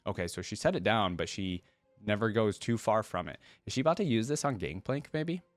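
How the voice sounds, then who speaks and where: A man's voice: fairly low pitch, lively intonation, fairly quick, no room sound, soft background music. A man hosting a reaction video, reading a story aloud and commenting casually as he goes.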